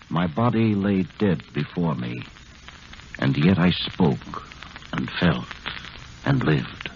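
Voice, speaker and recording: An actor playing a disembodied man gravely recounting his death in space, in 1950s radio drama style. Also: very deep voice, darkish tone, exaggerated voice. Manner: grave, ominous, dramatic monologue. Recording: muffled, hissy old 1950s radio recording.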